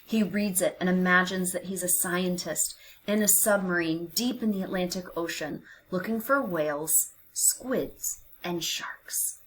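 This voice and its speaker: Slow-paced woman reading a children's storybook. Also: lowish voice, slight background noise, rough recording. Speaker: children's read-aloud narrator. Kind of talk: expressive storybook narration for young children.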